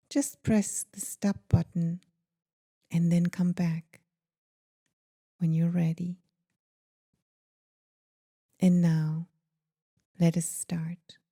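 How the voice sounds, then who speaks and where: A woman guiding a meditation in a gentle, soothing, deliberate manner, leaving pauses between phrases. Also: on the low side, steady tone. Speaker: meditation guide. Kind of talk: guided meditation.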